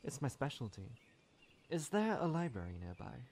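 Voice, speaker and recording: A man's voice: medium pitch, sing-song, normal speed, slight background noise. A man voicing a poised, wry female adventurer in a comic dub, speaking with dry, polite formality. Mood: composed, curious. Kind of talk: amateur comic dub, narration and dialogue. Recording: loud music over the voice. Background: loud music.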